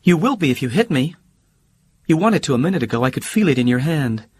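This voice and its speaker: A man voicing a brash, theatrical young woman who talks in a teasing, sharp-tongued way, for an audiobook. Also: fairly high pitch, big pitch swings, quick pace, husky, no room sound, voice pushed up. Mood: cold. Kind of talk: audiobook character voice.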